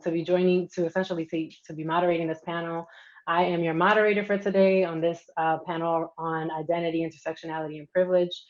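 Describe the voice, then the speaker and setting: Woman moderating a panel, low voice, muffled call audio. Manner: warm, measured, with frequent ums and restarts.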